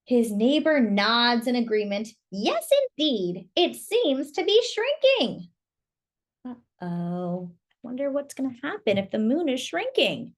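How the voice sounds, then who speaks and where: A woman storyteller reading a picture book aloud to children, pausing to ask listeners questions and comment on the pictures. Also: fairly high pitch, very animated.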